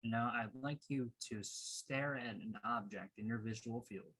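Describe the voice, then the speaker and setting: A man leading a guided meditation as a coach, giving measured, instructive directions in a husky voice. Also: medium pitch, fairly bright tone, rough recording.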